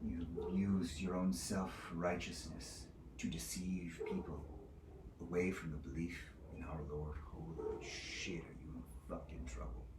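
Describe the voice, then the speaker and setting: A man's voice: deep voice, animated, moderate pace. A man playing a menacing, foul-mouthed zealot who sits as divine judge, in a theatrical monologue with a profane, taunting, domineering manner. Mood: hushed menace. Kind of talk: theatrical monologue, swinging from whispers to screams. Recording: echoey room with clear background noise.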